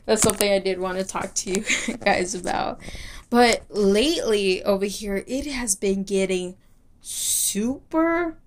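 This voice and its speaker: A woman's voice: medium pitch, unhurried. Giggly young Texan woman podcaster. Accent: Texas. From Texas, United States. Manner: rambling, giggly, many fillers and restarts. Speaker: podcast host. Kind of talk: casual solo podcast chat.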